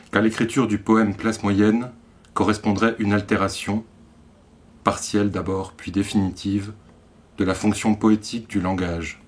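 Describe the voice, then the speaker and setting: A man with an accent from France reads literary poetry in a measured way, in short phrases set apart by deliberate pauses. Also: fairly low pitch. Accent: French from France. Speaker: poet reading own work.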